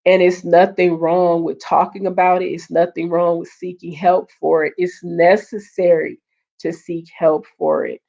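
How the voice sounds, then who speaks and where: A woman hosting a casual live stream, earnest and repeating her points, greeting viewers, her voice getting hoarse. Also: fairly low pitch, level tone, a little slow, dark tone. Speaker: livestream host. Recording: dull lo-fi stream audio.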